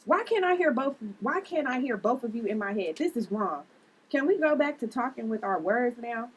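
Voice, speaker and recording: A woman's voice: a little high, expressive, normal speed. A woman reading a wary, irritated sibling at a drama table read. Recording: far from mic, clear background noise.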